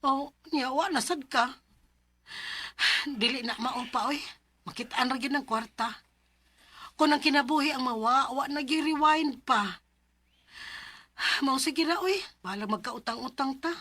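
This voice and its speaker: High, bright-voiced earnest daughter. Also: female voice, unhurried, long pauses, heavily acted. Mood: reassuring. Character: earnest adult daughter, a letter writer, practical and caring. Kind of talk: radio drama acting.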